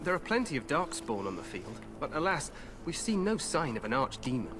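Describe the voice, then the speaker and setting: Brief: a hearty young king, lively and regal, higher-pitched, game music audible behind. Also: brisk. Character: cheerful, overconfident young human king.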